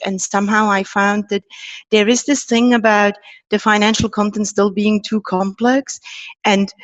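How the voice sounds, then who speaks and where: A woman's voice: mid-range voice, no echo, rough recording. Earnest woman. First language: Czech and German. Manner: thoughtful, earnest, with fillers. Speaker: guest, startup co-founder. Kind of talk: podcast interview.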